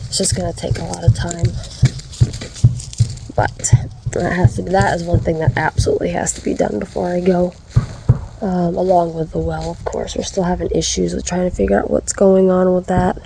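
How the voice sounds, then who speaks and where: A woman's voice: lowish voice, creaky, background noise. A woman giving a casual vlog update, stuffy and congested, chatty with lots of ums and restarts. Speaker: vlogger.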